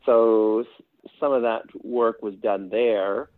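Deep-voiced guy from quebec, lots of ums and restarts, sounds like a phone call. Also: steady tone, slow, dry recording. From Quebec, Canada. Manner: rambling and hesitant, many fillers and restarts. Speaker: songwriter guest. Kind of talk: casual interview chat. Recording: tinny phone-like line, dry.

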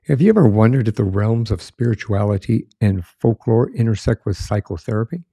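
Gravelly, low-voiced man hosting a podcast. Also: a little fast, warm tone, no room sound, clean studio sound. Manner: friendly, rambling with restarts. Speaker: podcast host. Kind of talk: conversational interview.

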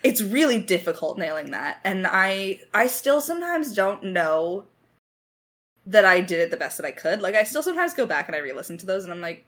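A woman's voice: mid-range voice, sing-song, fairly quick, a bit bright. A woman talking casually in a creator Q&A, thinking aloud as a writer in a self-deprecating, hesitant way. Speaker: lead writer of an audio drama podcast.

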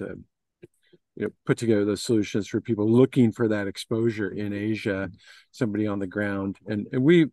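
A man's voice: fairly low pitch, a little mellow, no room sound. Middle-aged man, dry close sound, meandering answers. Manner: hesitant, meandering phrasing with many you-knows. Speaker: interview guest, investment firm executive. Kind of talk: informal business interview answers.

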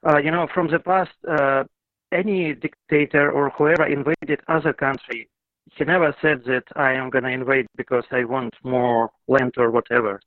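A man's voice: medium pitch, fairly even intonation, moderate pace, dry recording. Hesitant Ukrainian-born male caller. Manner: hesitant, many fillers and restarts. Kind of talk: call-in radio talk. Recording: muffled internet call line, dry.

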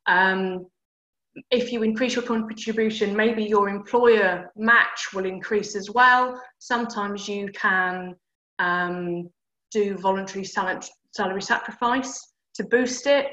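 Casting: woman guest with a bright, tense voice, clear explainer, dull and roomy recording. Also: medium pitch, takes it slowly. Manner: clear, practical, explaining step by step. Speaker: personal finance guest. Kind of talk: explanatory advice in conversation. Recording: dull echoey room sound.

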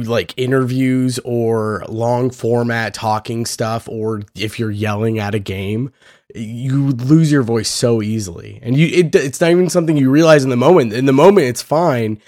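A young man hosting a casual podcast, his voice hoarse and raspy from yelling, talking loosely and energetically and swearing now and then. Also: mid-range voice, dry recording, clean studio sound. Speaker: podcast host.

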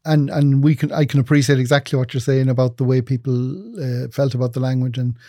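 Man in his fifties, warm dark tone, dry close mic, articulate and measured, interview guest. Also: mid-range voice, dry recording. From England. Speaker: broadcasting executive guest. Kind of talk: conversational interview answers.

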